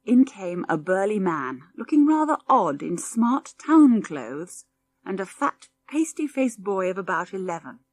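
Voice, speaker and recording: A woman narrates a children's adventure audiobook. Her pitch sits in the middle, and she tells the scripted story expressively. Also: big pitch swings, measured pace, a little mellow, no room sound.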